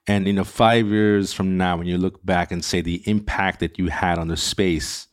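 A man's voice: sits low, fairly even intonation, normal speed. A man hosting a business podcast, asking questions in a conversational interview style. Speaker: podcast host.

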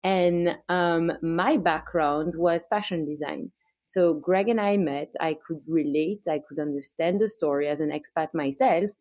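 Belgian woman with a mellow, dry voice. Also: deep voice, unhurried, no echo. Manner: conversational, storytelling with small restarts. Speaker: guest, brand founder. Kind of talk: podcast interview.

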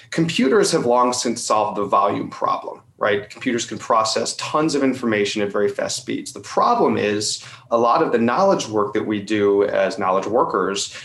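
A man giving an articulate, conversational explanation as an interview guest, often checking his points with a quick tag question. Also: lowish voice, fairly bright tone. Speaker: company representative being interviewed. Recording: roomy, echoing sound.

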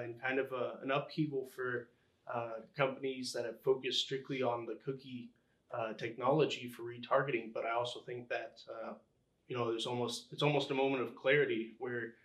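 A man answering interview questions as a podcast guest, explaining things conversationally and haltingly as he pieces thoughts together. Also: medium pitch, steady tone, fairly bright tone. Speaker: podcast guest, engineering executive. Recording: roomy, echoing sound.

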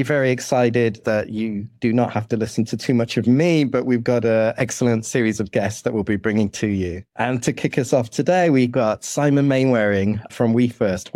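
Podcast host: middle-aged man, medium pitch, introducing and interviewing, recorded dry. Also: a little mellow, dry recording.

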